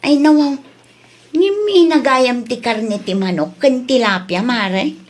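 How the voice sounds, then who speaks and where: Woman acting as a nosy suspicious lady shopper, high voice, scolding and won't let it go, comedy drama. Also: lively intonation, slow pace. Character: suspicious, insistent female customer exposing a cheat.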